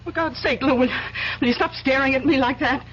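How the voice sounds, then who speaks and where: A woman's voice: high voice, brisk, close to an everyday voice. An actress playing the frightened, defensive wife of a jealous man, pleading and exasperated, in 1970s radio drama style. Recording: muffled, noisy old radio broadcast.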